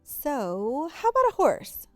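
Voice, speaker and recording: Lady doing an eager little kid, pleading and pushy, high storybook girl voice. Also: wildly swooping pitch, takes it slowly, no echo, soft background music, full character voice.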